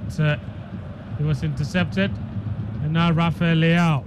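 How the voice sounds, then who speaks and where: High-pitched man commentating football over noise. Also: steady tone, a little slow. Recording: clear background noise, stadium ambience.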